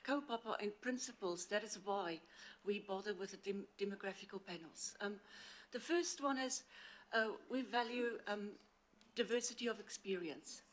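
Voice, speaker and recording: A woman with an accent from outside the city presents to a council committee on a handheld mic, earnest and halting with frequent fillers. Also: medium pitch. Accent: non-local accent. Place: council meeting chamber. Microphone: handheld microphone.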